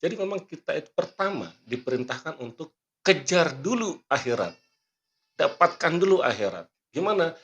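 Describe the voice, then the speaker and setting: A man hosting a motivational podcast, teaching earnestly and quoting scripture and sayings, often clearing his throat while he talks because he is not fully well. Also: fairly high pitch, big pitch swings, moderate pace, fairly bright tone, highs cut off. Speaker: magician and motivational speaker. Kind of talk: motivational podcast talk with a magic trick demo.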